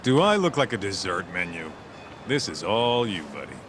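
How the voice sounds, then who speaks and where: A man's voice: sits low, big pitch swings, normal speed, a bit bright, a little hiss or hum. An actor playing a brash, laid-back tough-guy detective in a video game, talking bluntly and teasingly. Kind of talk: video game cutscene dialogue.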